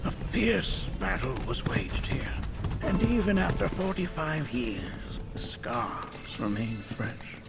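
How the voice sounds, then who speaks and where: Slow, creaky old village elder. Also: male voice, medium pitch, big pitch swings, heavily acted. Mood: grave. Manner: formal, measured, archaic phrasing. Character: elderly male village elder, cryptic trial guide, wise and wry. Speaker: elder overseeing a trial. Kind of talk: video game voice acting. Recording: loud music under the voice. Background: loud game music.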